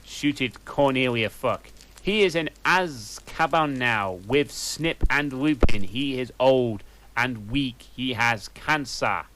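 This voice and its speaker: A man reads a story aloud for comedy at home. He takes his time and lets his pitch rise and fall with animation. Between lines he drops dry, mocking asides. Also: animated, slow pace, bright, forceful tone, slight background noise, poor audio. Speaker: reader. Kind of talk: comic reading of a fanfic.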